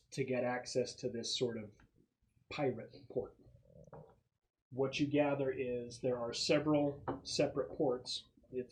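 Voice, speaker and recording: A man's voice: mid-range voice, a little mellow, rough recording. A man narrating a tabletop roleplaying game as game master, explaining the scenario in a conversational way.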